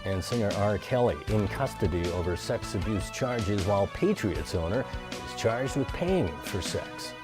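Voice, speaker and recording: A man's voice: on the low side, animated, moderate pace. A man reading scripted news headlines as an anchor. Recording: music bed behind the voice.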